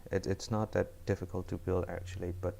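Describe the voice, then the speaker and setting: A man's voice: low-pitched, flat delivery, brisk, creaky, dark tone, a little hiss or hum. A young man from Cambodia, a first-year student, chatting casually with his viewers while streaming, often repeating and restarting words. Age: young adult. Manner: rambling, repeats words, chats with chat. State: cold. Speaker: live coding streamer.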